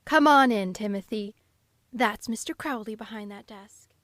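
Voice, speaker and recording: A woman's voice: on the high side, normal speed, fairly bright tone, dry recording, close to an everyday voice. A woman playing a timid, polite secretary who speaks hesitantly in an old-time radio drama. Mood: deferential. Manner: deferential, hesitant. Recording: music under the voice.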